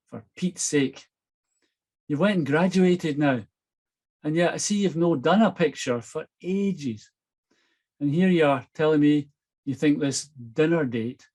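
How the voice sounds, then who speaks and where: A man's voice: on the high side, a little mellow. Scottish man voicing a blunt older wife. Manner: scolding, blunt.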